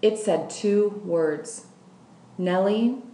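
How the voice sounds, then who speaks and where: A woman narrates a children's book with lively, swooping intonation at an unhurried pace. The room around her is noticeably echoey. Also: medium pitch, far from the mic. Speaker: school staff member reading aloud. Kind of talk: scripted storybook narration, read aloud.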